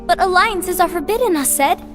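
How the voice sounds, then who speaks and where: An actress playing a hesitant, gentle, girlish comrade in an animated game film, speaking tentatively. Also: very high-pitched, moderate pace, music in the background. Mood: hesitant, worried.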